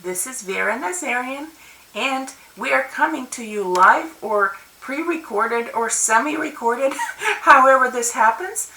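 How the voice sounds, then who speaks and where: A woman's voice: sits high, lively intonation, measured pace, sharp tone, a little hiss or hum. A middle-aged woman whose first language is Russian, telling a personal story in a loose, rambling way with restarts and laughs, speaking to a webcam in her home study. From Soviet Union. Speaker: convention speaker. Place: home study with bookshelves and plants. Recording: roomy webcam audio from a distance.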